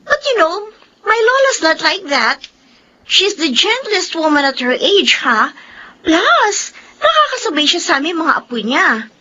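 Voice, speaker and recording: A woman playing an outgoing, supportive young classmate in a Filipino radio drama, speaking in an animated, expressive way. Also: very high voice, a little slow, lo-fi sound, full character voice. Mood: chatty, fond.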